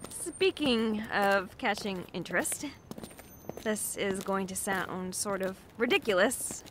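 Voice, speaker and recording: A young woman played by an actress in an audio drama, anxious and apologetic. She talks in a natural, casual way, hesitant and self-deprecating, at a middling pitch, with some ambient noise behind her. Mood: friendly, awkward.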